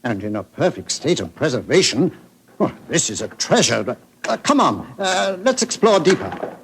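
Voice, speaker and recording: Hesitant, lively-toned English country gentleman. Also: a little high, normal speed. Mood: eager. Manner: hesitant, polite. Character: mild, hesitant English country gentleman, eager antiquarian guide. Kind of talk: 1940s radio drama acting. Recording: noisy old 1940s radio recording.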